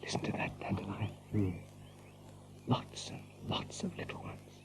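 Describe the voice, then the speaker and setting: An actor playing an aging, gentle rabbit leader in an audio drama, with a halting, reflective, murmuring delivery. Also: male voice, on the low side, animated, creaky, in a whisper. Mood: tired, wistful. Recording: muffled audio drama with music behind.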